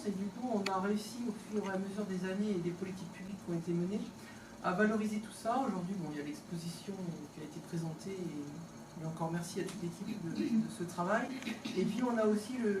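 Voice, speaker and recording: Woman giving an informal speech, echoey and distant. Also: mid-range voice. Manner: conversational, halting off-the-cuff delivery. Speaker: local elected official giving a speech. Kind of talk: informal opening speech at an exhibition. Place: indoors, tourist office exhibition hall. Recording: echoey room, distant mic, background noise.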